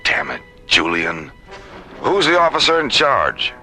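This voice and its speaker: An actor playing a gruff, authoritative space station commissioner in an old-time science fiction radio drama. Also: male voice, fairly low pitch, wildly swooping pitch, unhurried, pushed and bright, faint music behind, full character voice. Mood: angry, forceful. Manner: blunt, authoritative.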